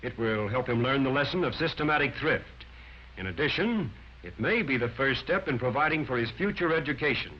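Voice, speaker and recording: A man's voice: mid-range voice, expressive, fairly quick. A man delivers scripted 1940s instructional film narration in an emphatic, declarative, instructive manner. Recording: dull band-limited 1940s film soundtrack, music.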